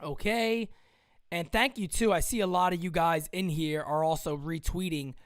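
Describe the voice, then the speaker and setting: A man hosting a live-stream sports show, talking casually and excitably, muttering one moment and yelling the next, his voice strained. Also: fairly high pitch, moderate pace.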